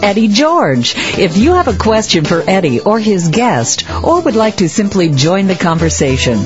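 A woman announcer reading a scripted promotional radio spot. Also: mid-range voice. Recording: muffled audio over loud music bed. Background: music.

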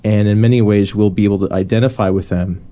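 A man's voice: fairly low pitch, fairly even intonation, quick pace, mellow. A man on a discussion panel, explaining casually and loosely, restarting phrases as he goes. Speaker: panelist on a Bible study discussion.

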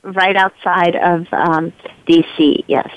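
A woman doctor from Iran speaks as a guest on a radio show. She tells her life story earnestly, pausing now and then on fillers. The sound is dry and close. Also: on the low side, steady tone, no room sound, low-quality recording. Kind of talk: radio interview.

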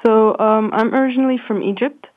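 A woman's voice: on the high side, fairly quick, phone-quality sound. A young woman from Qatar, an engineering student, answering interview questions hesitantly with many fillers. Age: young adult.

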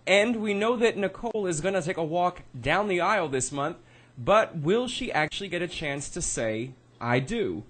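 A man reads a scripted radio promo with a teasing delivery. His voice sits slightly high and has a husky grain. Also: expressive, normal speed, fairly bright tone. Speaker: promo announcer.

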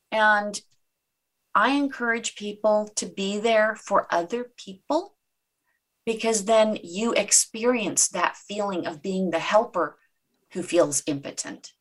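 A woman's voice: mid-range voice, slow pace, a bit bright. A woman whose first language is Czech, speaking as a guest in an interview with an earnest, reflective manner. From Czech Republic. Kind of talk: conversational interview.

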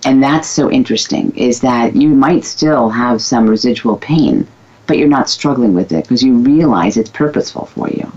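Outspoken woman guest, husky low voice, emphatic and candid, muffled lo-fi recording. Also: animated, moderate pace. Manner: candid, emphatic, self-described loudmouth. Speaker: guest, former physical therapist. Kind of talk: casual conversation. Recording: dull lo-fi recording.

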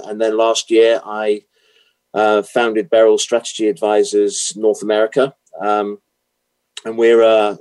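A middle-aged man with a British accent, answering interview questions with many pauses, fillers and restarts. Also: fairly low pitch, a little mellow, low-quality recording. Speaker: guest, automotive consultant.

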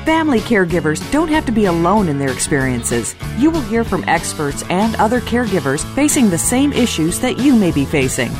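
A woman reads a scripted radio show introduction in a lively, polished way, her pitch moving freely. Clear music plays underneath her voice. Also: a little fast, few pauses. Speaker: show announcer. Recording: over backing music.

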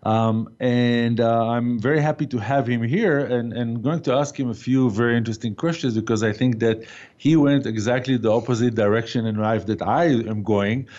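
Hesitant host with a muffled sound. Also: male voice, mid-range voice, normal speed, darkish tone. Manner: hesitant, many fillers. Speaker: talk show host. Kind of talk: casual interview. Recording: dull lo-fi sound.

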